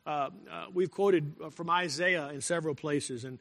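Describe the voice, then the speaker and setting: A male pastor delivers a sermon with a slightly high, bright, forceful voice. He speaks deliberately and with emphasis, repeating key phrases and clearing his throat from time to time. His voice rings out with a long echo, as in a large church hall. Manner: clears throat now and then.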